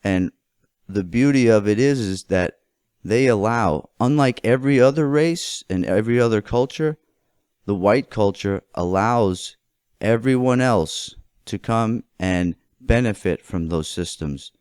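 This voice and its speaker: A man with a fairly low, clear and smooth voice speaks as a political commentator in an opinionated monologue. He sets out his phrases deliberately and with emphasis. The sound is dry and close, with very little room in it. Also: expressive, a little mellow, no echo. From United States.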